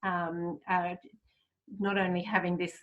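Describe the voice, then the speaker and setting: Woman interview host, lower voice, affirming and supportive, spiritual outlook. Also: level tone, unhurried, highs cut off. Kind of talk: casual interview.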